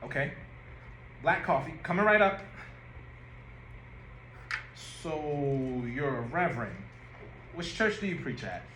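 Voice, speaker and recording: A man's voice: fairly high pitch, expressive, slow, long pauses, sharp tone, heavily acted. A man playing a guarded young new hire at a cafe in an audio play read-through, polite, hesitant and wary. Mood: polite, nervous. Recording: echoey room with background noise.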